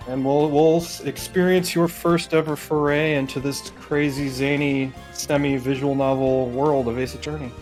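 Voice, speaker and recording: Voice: medium pitch, little pitch movement, normal speed, few pauses, darkish tone, soft background music. A let's play co-host talking casually while introducing a game. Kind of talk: casual gaming chat.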